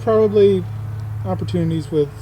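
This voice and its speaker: High-voiced young man over vehicle noise. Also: a little fast, dark tone, poor audio. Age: late 20s. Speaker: vlog host. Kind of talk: casual chat.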